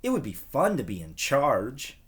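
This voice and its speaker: An excitable little brother of kindergarten age from a storybook, acted out by a man. He is eager and pestering, with pitch that lifts and swings about. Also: a little slow.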